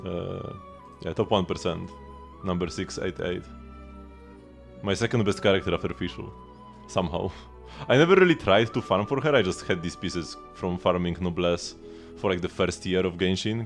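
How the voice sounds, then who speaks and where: A man's voice: sits low, normal speed, creaky. A man giving casual live gameplay commentary as a streamer, rambling and thinking aloud. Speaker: game livestreamer. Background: game music.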